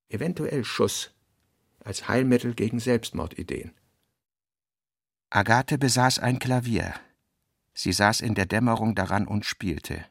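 Guy narrating a literary radio drama, creaky voice, very dry studio sound, measured delivery. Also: medium pitch, dry recording. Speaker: narrator, reader of literary text and notes.

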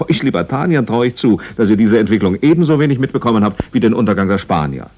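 A man's voice: fairly low pitch, sing-song, fast talker, a little mellow, husky. An actor playing a sceptical, puzzled male foreigner who explains with some condescension, in a 1960s German radio play. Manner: explanatory, questioning.

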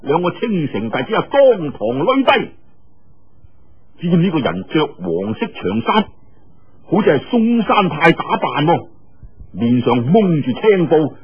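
A man's voice: on the high side, husky, highs cut off. A man with a Cantonese accent telling a martial-arts tale in a dramatic, colloquial, exclamatory style. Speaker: storyteller. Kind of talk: animated martial-arts storytelling.

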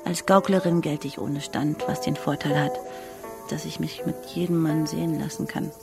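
An actress in a German radio drama playing a proud, guarded young street acrobat with a dry, mocking manner. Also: female voice, deep voice, steady tone, normal speed, gravelly, over music. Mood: matter-of-fact. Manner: dry, sardonic.

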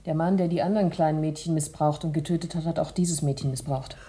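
Female police detective, husky lower register, composed, firm but gentle, radio drama. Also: steady tone, fast. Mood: businesslike. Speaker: actress. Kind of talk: German radio drama acting.

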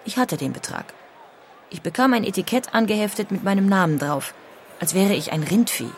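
A rueful immigrant, voiced by an actor, recalls the arrival in a plain, mid-pitched storytelling tone. A faint hiss of noise sits under the voice. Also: lively intonation, fairly quick, close to an everyday voice. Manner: plain, rueful first-person recollection. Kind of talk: audio drama reading.

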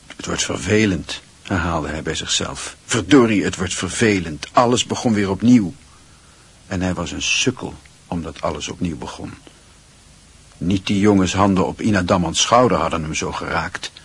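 A man acting as the brooding narrator of a literary radio play, with a low, husky voice. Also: moderate pace, fairly bright tone, heavily acted. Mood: bitter, brooding. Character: brooding male narrator of a literary coming-of-age story.

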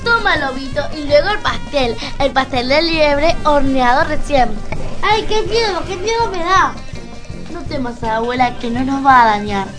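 Woman playing storybook girl and timid grandmother, high voice, rhymed repetitive delivery, children's radio play, music behind. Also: lively intonation, normal speed. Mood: coaxing, then fearful, soothing.

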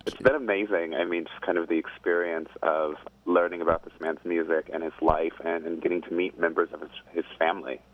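Guest: deep-voiced man on a phone line, easygoing storyteller, laughs often. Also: level tone, a little fast, hardly any pauses. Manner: thoughtful, rambling, laughs easily. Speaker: guest singer. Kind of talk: casual interview chat.

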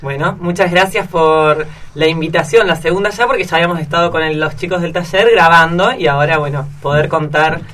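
A woman's voice: low-pitched, fairly quick, few pauses, a bit bright. A woman with an Argentine accent, a schoolteacher talking casually and telling anecdotes as a guest in a radio studio. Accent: Argentine Spanish. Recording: distant mic, faint background noise.

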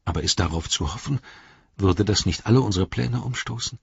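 A man voicing a frightened, cold young male hobbit held captive, asking himself anxious, self-reproaching questions in a husky voice. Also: low-pitched, brisk, no room sound, dull audio, heavily acted. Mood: worried. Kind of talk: audiobook character voice.

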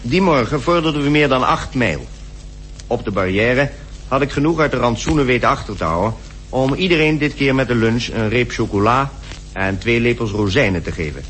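A male actor as a practical expedition man in a radio play. He gives his diary account plainly and in detail, in a mid-pitched voice. The old recording carries a faint hum. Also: fairly bright tone, close to an everyday voice. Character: British polar expedition member reporting rations and marches, practical. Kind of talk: radio drama diary monologue.

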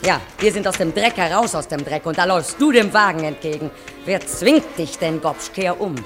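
Actress as weary working-class wife, deep female voice, earnest dramatic phrasing, old radio play, music behind. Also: expressive, normal speed, natural voice. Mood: pleading, defiant. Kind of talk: 1950s German radio drama acting. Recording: 1953 radio recording, faint music behind.

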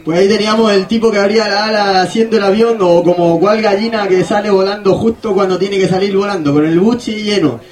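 Male radio presenter with a notably high voice, informal and playfully wandering delivery. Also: steady tone, fast talker, hardly any pauses, music in the background. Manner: playful, rambling. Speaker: radio host. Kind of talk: casual radio link, chatty.

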